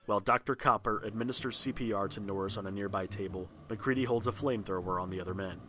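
A man's voice: fairly low pitch, quick pace, pushed and bright. A man reading a scripted storybook narration for a read-along record.

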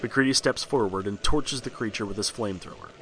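A man's voice: on the low side, fast, background noise. A man reading a scripted storybook narration for a read-along record.

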